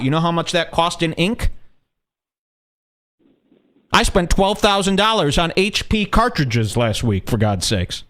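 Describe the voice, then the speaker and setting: A man's voice: on the high side, fairly bright tone. Man playing a pompous, aggrieved businessman complaining. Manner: indignant, pompous, exasperated. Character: aggrieved, self-important businessman complaining as a customer. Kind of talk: prank phone call.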